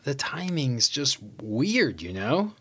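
An actor playing a chummy, self-important high school teacher, an American man with a casual, hesitant way of talking, in a naturalistic audio drama. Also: very animated, takes it slowly, pushed and bright, slightly rough, exaggerated voice. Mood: flustered, incredulous. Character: chummy, vain male high school teacher, former homecoming king.

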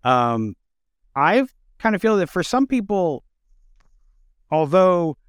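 A man hosting a casual interview, talking in halting, measured phrases. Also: high-pitched, big pitch swings, clear, resonant tone, dry recording, studio quality. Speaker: interview host.

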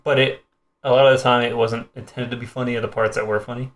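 A man in his thirties giving his take as co-host of a casual review. He speaks informally and a little haltingly, repeating words as he goes, in a mid-range voice. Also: medium pitch, level tone. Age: 30s. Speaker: co-host of a movie review show. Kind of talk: casual group review chat.

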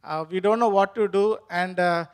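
Halting high-voiced Indian man. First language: Tamil. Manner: halting, many fillers, earnest and grateful. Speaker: church member giving testimony. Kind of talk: personal testimony in an interview.

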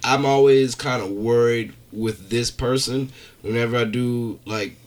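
A man telling stories in casual podcast chat, animated, slangy and freely swearing. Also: medium pitch, a little slow. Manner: animated storytelling, profane, slangy. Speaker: stand-up comedian, podcast guest.

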